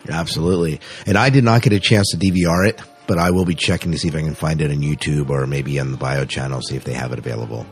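A man's voice: very deep voice, fast talker, few pauses, faint music behind. A man hosting a podcast in a casual, chatty style. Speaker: podcast host.

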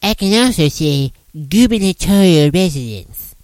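A man's voice: high-pitched, lively intonation, moderate pace, a little mellow, clear, resonant tone, dry recording, pitched above the performer's own voice. A man putting on the voice of a smug, crude, boastful man as a mock impression on a talk show.

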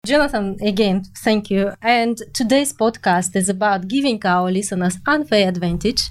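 A woman's voice: medium pitch, moderate pace. A woman from Russia, co-hosting a podcast interview and throwing in playful, teasing quips. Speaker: podcast co-host. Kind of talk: casual interview chat.

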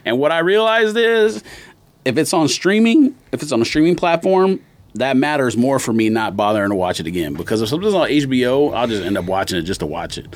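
A man's voice: medium pitch, big pitch swings, brisk. A man hosting a podcast, chatting casually and bluntly in slangy, opinionated talk. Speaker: podcast host.

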